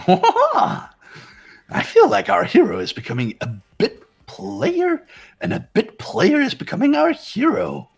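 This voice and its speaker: A man's voice: extremely high pitch, wildly swooping pitch, takes it slowly, creaky, husky, voice pushed up, exaggerated voice. A man voicing a gleefully menacing, mocking robot bear headmaster in a fan-dubbed game, theatrical and teasing in sing-song swoops. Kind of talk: fan voice acting of a visual novel game.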